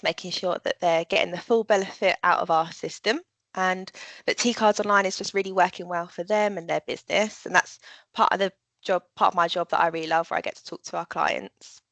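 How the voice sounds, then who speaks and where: A woman with a fairly low voice walks listeners through a product during a live webinar. The sound is dry and close, with no room echo. Her delivery is friendly and clear, with the odd um. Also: fairly bright tone. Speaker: customer support presenter. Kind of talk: live business webinar presentation.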